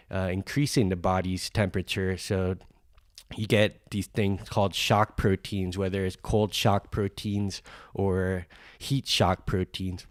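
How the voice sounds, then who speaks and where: A man with a very low, flat voice, nasal and stuffed up from a cold. He rambles through a podcast introduction with plenty of ums and restarts, recorded in a dry, close space. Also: clear, resonant tone, no room sound. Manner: rambling, many fillers and restarts. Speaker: podcast host.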